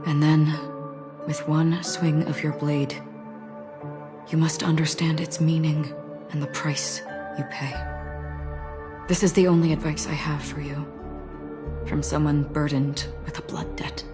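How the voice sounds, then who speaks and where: An actress playing a somber, guilt-burdened swordswoman in a video game, a grave mentor who speaks in a measured, solemn way. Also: sits low, little pitch movement, music in the background. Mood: grave, resolute.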